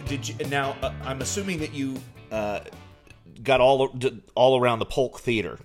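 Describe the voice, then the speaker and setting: A male interviewer with a medium-pitched voice talks over faint music. His style is casual chat, and his questions come out haltingly, feeling their way toward the point. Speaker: interviewer, co-host.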